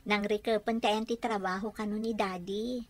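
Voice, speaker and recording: A woman's voice: medium pitch, steady tone, normal speed, no echo, natural voice. An actress playing a patient young married daughter who soothes her mother in an Ilocano radio drama. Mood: placating.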